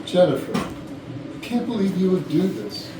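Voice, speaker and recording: A woman's voice: lowish voice, expressive, a little slow, mellow. A woman playing a polite, evasive, formal senior company woman in a staged murder mystery comedy. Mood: dismayed. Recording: echoey room, distant mic, faint noise.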